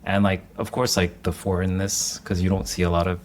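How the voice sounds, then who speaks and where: A man's voice: low voice, flat delivery, a little fast, a little hiss or hum. A man co-hosting a podcast, speaking in an easygoing, slightly hesitant casual way and chuckling mid-sentence. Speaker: podcast co-host. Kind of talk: casual conversation.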